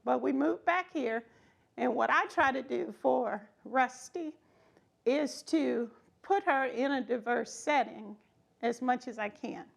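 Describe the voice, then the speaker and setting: A woman's voice: high voice, slow, vocal fry. A middle-aged woman giving an informal read-aloud storytime with folksy, conversational asides. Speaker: storytime reader, schoolteacher.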